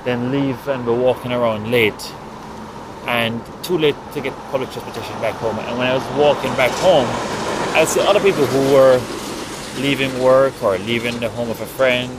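A man with a Jamaican accent, a writer, recounting his own experiences in a measured, thoughtful way with pauses mid-sentence. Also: medium pitch, noisy background. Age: adult. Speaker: writer and guest. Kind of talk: reflective storytelling.

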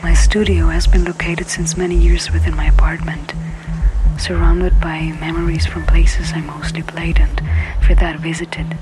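A woman, an experimental musician whose first language is Italian, speaking slowly and thoughtfully in a breathy, half-whispered voice. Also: low-pitched, flat delivery, low-quality recording. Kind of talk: interview excerpt in a sound collage. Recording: over loud electronic music.

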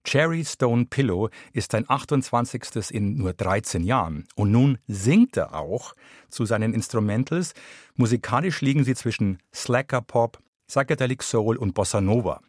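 A man's voice: mid-range voice, animated, normal speed, a little mellow, no room sound, studio quality. A man presenting a music feature in polished, scripted broadcast narration. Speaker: radio presenter.

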